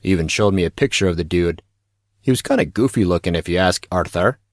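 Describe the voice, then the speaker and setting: Man playing a deep-voiced flippant teenage schoolboy. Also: lively intonation, fairly quick, no room sound, clean studio sound. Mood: flippant, casual. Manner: casual, slangy, flippant. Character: laid-back, gossipy, wisecracking teenage schoolboy. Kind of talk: audio drama acting.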